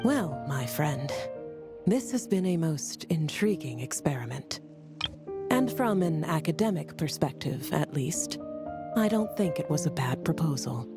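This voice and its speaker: A woman voicing a courteous, analytical scholar in a game, with deliberate, dry academic phrasing. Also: deep voice, lively intonation. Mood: measured, consoling. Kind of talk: scripted game voice acting. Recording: loud music under the voice.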